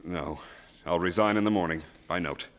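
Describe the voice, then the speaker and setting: A man playing a weary, guilt-ridden husband in a stage radio play, halting and resigned. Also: sits low, lightly acted. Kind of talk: stage radio drama acting.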